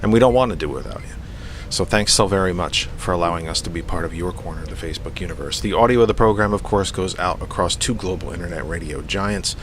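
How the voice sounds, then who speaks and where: Deep-voiced, stuffy male radio host. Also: fast, hardly any pauses, slight background noise. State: congested, sniffling from allergies. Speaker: radio talk show host. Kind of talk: live internet radio talk, program announcements.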